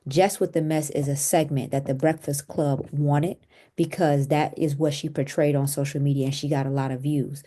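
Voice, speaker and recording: A woman's voice: deep voice, fairly even intonation, moderate pace, warm tone. A woman hosting a commentary show, explaining celebrity drama in a conversational way with asides. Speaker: host.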